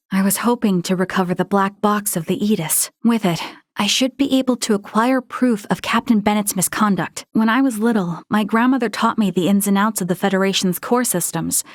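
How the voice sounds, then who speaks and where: A woman's voice: mid-range voice, dry recording, studio quality, close to an everyday voice. A woman voicing an earnest, principled young female first officer of a starship in a video game, with formal, precise diction.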